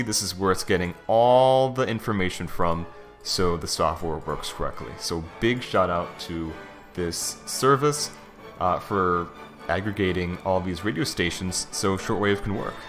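A man giving a casual, conversational software review, informal and thinking aloud as he talks through what he shows. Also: deep voice. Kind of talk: casual screen-recorded software walkthrough. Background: background music.

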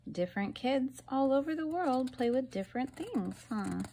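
A woman reading a children's picture book aloud like a teacher, deliberately, pausing between phrases and putting questions to her young listeners. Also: high-pitched, animated, dark tone, slight background noise.

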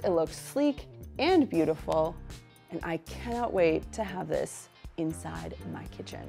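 A woman's voice: fairly low pitch, darkish tone. Enthusiastic woman touring an appliance showroom. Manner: upbeat, enthusiastic product presenter. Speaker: cleaning channel host. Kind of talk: sponsored product walkthrough. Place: large indoor appliance showroom. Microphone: small lapel mic.

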